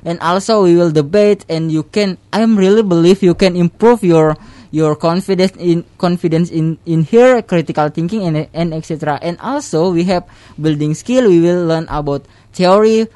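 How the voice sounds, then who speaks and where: A man with an Indonesian accent, a radio guest explaining his English club in halting, hesitant English. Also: high-pitched, warm tone, no room sound. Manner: hesitant, many fillers and restarts in halting English. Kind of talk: casual radio talk show chat.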